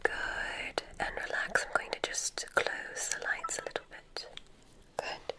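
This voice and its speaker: Slow, breathy whispering woman. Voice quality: breathy, mostly unvoiced whisper. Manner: soothing, gentle, unhurried reassurance. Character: kindly witch doctor giving a magic school exam. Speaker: ASMR roleplay performer. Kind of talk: whispered ASMR roleplay. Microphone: close ASMR microphone.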